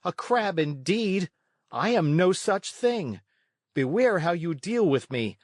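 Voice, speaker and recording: A man acts out a small marionette boy in a children's storybook, pitched well up. He is proud and plucky, speaking with earnest indignation. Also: sing-song, slow, no room sound, studio quality, full character voice. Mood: indignant. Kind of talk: children's storybook read-aloud.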